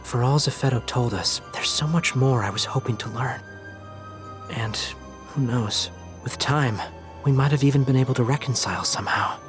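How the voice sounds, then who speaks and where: Guy as polite reflective game ally, medium pitch, music playing behind. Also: normal speed, lightly acted. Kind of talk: video game cutscene acting.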